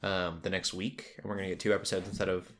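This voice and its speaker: A man's voice: sits low, flat delivery, fast, a bit bright. A man hosting a casual pop culture podcast, talking in a rambling way that drifts into tangents. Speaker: podcast host.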